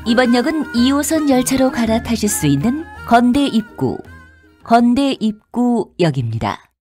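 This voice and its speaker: Female transit announcer, fairly high and very lilting, formal and polite, recorded dry. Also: big pitch swings, unhurried, a little mellow, soft background music. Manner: formal, polite, clearly enunciated. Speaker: subway announcer. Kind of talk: scripted public transit announcement.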